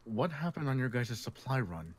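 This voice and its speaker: A man's voice: medium pitch, big pitch swings, normal speed, husky. A man playing a level-headed, take-charge survivor who mediates in a game roleplay. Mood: mediating.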